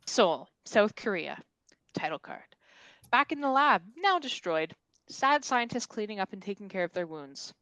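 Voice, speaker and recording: A woman's voice: mid-range voice, big pitch swings, normal speed, a bit bright, dry recording, poor audio. A woman reading stage directions as narrator at a comedy table read.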